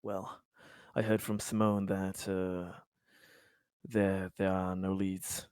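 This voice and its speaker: A man playing an injured, weary, anxious hospital patient in a tabletop roleplay, speaking haltingly with sighs and hesitation. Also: deep voice, flat delivery, a little mellow, clean tone, dry recording. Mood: weary, troubled.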